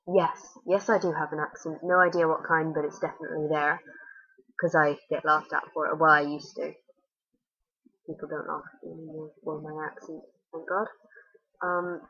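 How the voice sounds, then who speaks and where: A teenage girl with a Fermanagh accent talks in a chatty, blunt, wry way. Her voice sits low for a young woman. The webcam sound in her small bedroom is dull and lo-fi. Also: a bit bright, slightly rough. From Northern Ireland. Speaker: vlogger. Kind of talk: casual accent-tag video. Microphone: webcam at desk.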